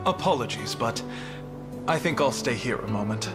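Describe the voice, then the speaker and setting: A man's voice: medium pitch, expressive, normal speed, loud music behind the voice, lightly acted. A man playing a courtly, guarded wanderer in a fantasy video game, speaking formally. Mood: reserved.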